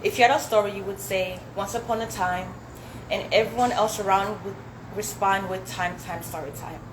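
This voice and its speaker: A young woman with an African accent and Swahili as her first language performs spoken word poetry. Her pitch sits in the middle for a woman. The room echoes around her and there is clear noise in the background. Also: steady tone, normal speed. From Zambia, later the United States. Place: indoor dinner event with audience.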